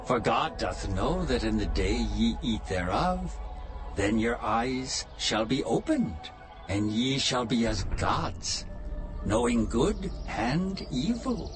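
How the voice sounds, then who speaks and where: Slow, swooping sly serpent. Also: male voice, mid-range voice, big pitch swings, over music. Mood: sly, tempting. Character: sly tempting serpent, male, deceitful and insinuating. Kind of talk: dramatized audio Bible acting.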